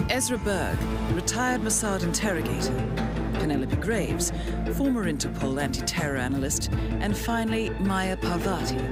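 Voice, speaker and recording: A composed woman briefing an assassin in a spy game. She speaks formally and articulately, in measured phrases with lively intonation. Loud music runs underneath. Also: lowish voice. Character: poised, composed female handler briefing an assassin. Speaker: intelligence handler in a spy game. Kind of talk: scripted mission briefing and cutscene dialogue. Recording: music behind the voice.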